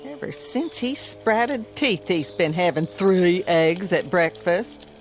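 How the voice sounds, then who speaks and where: A woman's voice: lowish voice, takes it slowly, soft background music. An actress playing a worried, fussing farm mother with a rural Southern American accent in a radio drama. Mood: fretful.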